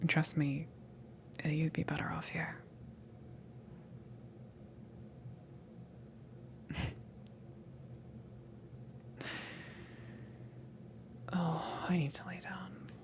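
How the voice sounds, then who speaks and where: A woman voicing a friendly, flustered alien ship crewmember in a soft ASMR roleplay, speaking in a hesitant, breathy half-whisper. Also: low-pitched, slight background noise, heavily acted. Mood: reassuring, weary. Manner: hesitant, awkward, giggly, halting.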